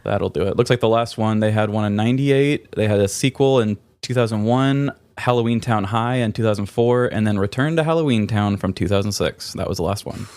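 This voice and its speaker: A man's voice: fairly low pitch, a little fast, few pauses, a little mellow, clear, resonant tone, dry recording. A man in his thirties hosting a podcast quiz in casual, chatty conversation. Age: 30s. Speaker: podcast host running a quiz. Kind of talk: casual chat.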